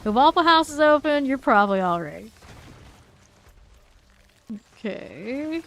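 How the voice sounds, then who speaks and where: A woman's voice: high-pitched, big pitch swings, normal speed, vocal fry, slight background noise. A woman live-streaming a game, chatting casually and rambling between gameplay callouts, laughing easily. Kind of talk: casual chat while playing a game live.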